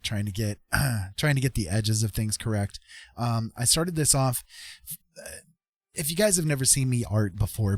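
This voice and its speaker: A man's voice: on the low side, a little mellow, no echo. A man chatting casually on a live stream as he draws, hesitant and self-effacing, thinking aloud with tutorial-like asides. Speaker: streamer and digital artist. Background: faint music.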